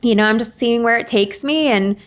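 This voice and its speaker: Chatty, high-voiced middle-aged woman. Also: brisk. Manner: chatty, expressive, acts out remembered dialogue. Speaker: author and podcast guest. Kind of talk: casual interview chat with animated storytelling.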